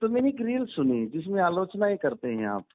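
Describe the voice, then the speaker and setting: A man speaks in a fairly high voice with very lively, swinging intonation. He argues and lectures insistently as a religious teacher, often repeating himself. The sound is narrow and tinny, as on a phone line. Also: a little fast, a crowd in the background. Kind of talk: argumentative phone conversation. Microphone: phone call.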